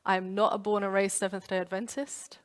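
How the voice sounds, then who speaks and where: Woman, pastor, animated sermon, mid pitch, echoing hall. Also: fairly quick. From London. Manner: animated storytelling with acted-out inner voices. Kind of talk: youth sermon. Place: church.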